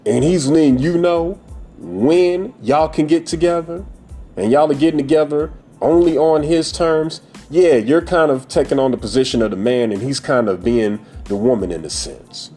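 A man's voice: fairly high pitch, normal speed, mellow, faint music behind. A man in his forties hosting a podcast, giving a blunt, profane, preachy monologue about dating. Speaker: podcast host.

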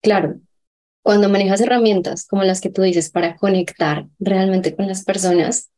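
A woman hosting a podcast interview, friendly and quick to laugh as she shares her own stories. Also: medium pitch, fairly even intonation, dark tone. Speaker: podcast host. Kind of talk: conversational interview.

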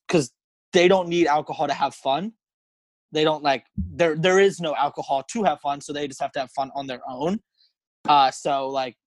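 A young American man talks casually as a guest in conversation. His voice sits slightly high for a man, and it is recorded dry, with emphatic, informal phrasing. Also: dry recording. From United States and Egypt. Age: 20s. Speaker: interview guest.

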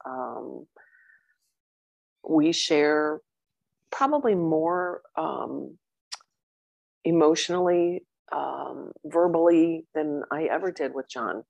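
Middle-aged woman speaking as an interview guest in a low, gravelly voice. She talks openly and warmly, filling her pauses with ums. The sound is close and dry. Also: no echo, highs cut off. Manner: chatty and open, with many ums and warm asides. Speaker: interview guest, coaching client. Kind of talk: candid conversational interview.

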